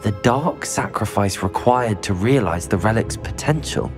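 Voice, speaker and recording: An actor playing a measured, polite teenage wizard student in a game cutscene. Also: male voice, on the low side, expressive, over music, natural voice. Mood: reasoning. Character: diplomatic teenage wizard student, calm and reasoned. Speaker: game protagonist. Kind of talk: video game cutscene acting.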